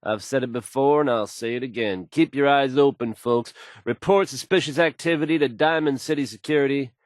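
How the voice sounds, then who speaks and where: An actor playing a confident radio DJ who reads post-apocalyptic news bulletins in an assured, measured, folksy announcer manner. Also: male voice, medium pitch, no room sound, studio quality, lightly acted. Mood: assured, urgent. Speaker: radio DJ and newsreader.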